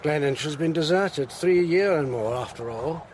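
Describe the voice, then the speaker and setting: An actor playing an older, protective clergyman uncle in a radio drama, measured and proper, with an English accent. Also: on the high side, darkish tone, a little hiss or hum, lightly acted. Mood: musing, avuncular.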